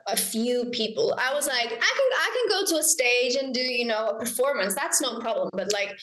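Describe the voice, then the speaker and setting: A woman's voice: fairly high pitch, lively intonation, a little fast, fairly bright tone, roomy sound, poor audio. A young Icelandic woman, a singer and songwriter, chatting as a guest in a casual interview, bubbly and giggly, often quoting herself.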